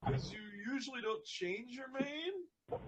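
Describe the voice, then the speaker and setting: A man voicing a young male cartoon dragon, a worried friend who speaks haltingly and earnestly. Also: very high voice, big pitch swings, slightly rough, pitched above the performer's own voice, exaggerated voice, low-quality recording. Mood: worried, hesitant.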